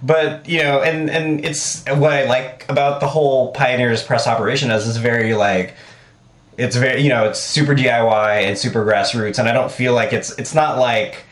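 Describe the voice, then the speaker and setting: A man hosting a podcast, asking questions in a halting way with many restarts. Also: medium pitch, steady tone. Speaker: podcast host. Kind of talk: casual interview. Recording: echoey room.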